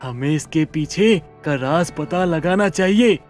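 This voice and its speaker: A man plays a worried but resolute village headman in a cartoon moral tale. His voice sits a little high and he speaks earnestly, with emphasis. Music plays behind him. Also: a little slow, natural voice. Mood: firm. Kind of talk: animated Hindi story dialogue.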